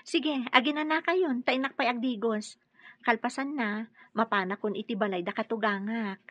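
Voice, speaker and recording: A woman's voice: a little high, normal speed, a bit bright, no room sound, lo-fi sound. A woman playing a dutiful, tired young daughter-in-law in an Ilocano radio drama.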